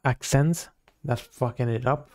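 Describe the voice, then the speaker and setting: A man's voice: mid-range voice, steady tone, a little slow, darkish tone. A drunk man with a Finnish accent, a streamer giving crude, joking reaction commentary. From Finland. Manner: crude, swearing, joking, laughing.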